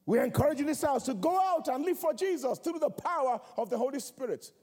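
A man's voice: extremely high pitch, expressive, brisk, darkish tone. A man preaching a sermon in a church, repeating key phrases in a rhythmic call-and-response way. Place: large church hall. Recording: long church hall reverb.

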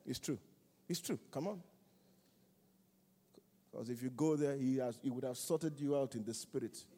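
A man's voice: mid-range voice, moderate pace, mellow. A man preaching a sermon in a church, repeating key phrases in a rhythmic call-and-response way. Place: large church hall. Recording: long church hall reverb.

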